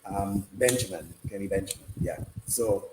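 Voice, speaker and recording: A man hosting a casual live sports discussion, speaking haltingly and repeating himself. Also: fairly low pitch. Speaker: sports radio host. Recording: roomy, noisy room sound.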